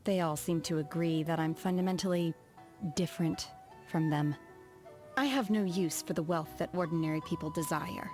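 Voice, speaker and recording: A woman acts out a reserved young female apprentice of immortals for a video game. Her voice sits a little low, soft-spoken and formal, with measured, aloof delivery. Music plays behind the lines. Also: natural voice. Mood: cool, composed.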